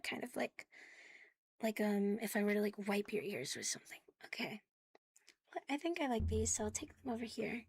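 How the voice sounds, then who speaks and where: Breathy, high-voiced woman livestreamer. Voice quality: soft, breathy, often dropping to a whisper. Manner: playful, giggly, cutesy. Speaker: ASMR live streamer hosting in a virtual world. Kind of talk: casual livestream chat with viewers. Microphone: close, sensitive streaming microphone.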